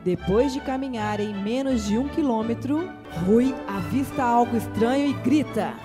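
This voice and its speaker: Measured radio-drama narrator, storytelling, mid pitch, music bed.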